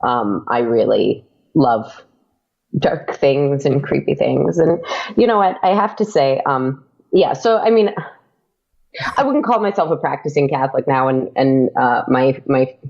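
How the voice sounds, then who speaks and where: A woman's voice: very deep voice, a little slow, gravelly, darkish tone, dull audio. A woman chatting casually as an interview guest. She talks freely with lots of fillers and laughs often. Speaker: podcast guest.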